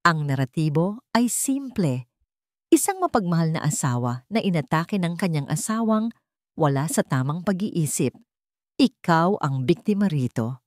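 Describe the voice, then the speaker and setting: A woman voicing a sharp male lawyer in a story, businesslike and deferential to his client. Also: low-pitched, sing-song, a little slow, no room sound, studio quality. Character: smooth, sharp, obedient male defence lawyer.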